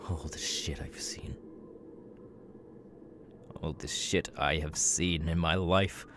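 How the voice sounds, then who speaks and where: A man playing a young, unhinged masked serial killer in an ASMR audio roleplay, creaky and halting, muttering with erratic, twitchy menace. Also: very deep voice, fairly even intonation, exaggerated voice. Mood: confiding, troubled. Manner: halting, muttering, sudden tics and hoots.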